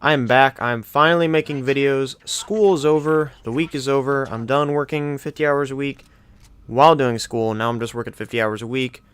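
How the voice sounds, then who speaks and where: A man's voice: mid-range voice, normal speed, fairly bright tone, smooth. A young man hosting casual video game countdown commentary in an enthusiastic, effusive way. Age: young adult. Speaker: video game channel host.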